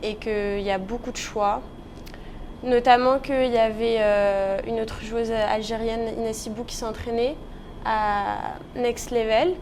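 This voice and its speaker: A woman's voice: on the high side, steady tone, unhurried, a little mellow, slight background noise. A nineteen-year-old woman from the Paris region, giving casual interview answers. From Paris region, France. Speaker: interview guest, professional tennis player.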